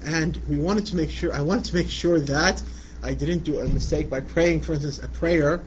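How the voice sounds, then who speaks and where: Middle-aged man from Iraq, conversational lecturer, slightly high voice, dull lo-fi sound. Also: moderate pace. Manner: conversational, repeats "you know what I'm saying". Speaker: Islamic lecturer. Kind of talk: religious lecture answering a question. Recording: muffled lo-fi recording with light background noise.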